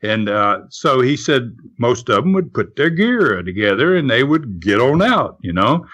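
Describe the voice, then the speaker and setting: A man's voice: medium pitch, very animated, measured pace, fairly bright tone, highs cut off. A man telling old stories casually as an interview guest, in a folksy way, acting out both sides of remembered conversations. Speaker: interview guest recalling wrestling history. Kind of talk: casual storytelling with re-enacted dialogue.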